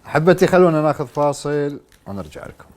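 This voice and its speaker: A man's voice: fairly high pitch, expressive, quick pace, darkish tone, faint music behind. A man hosting a talk show, asking questions with frequent encouraging interjections. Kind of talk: interview questions, lively host chat.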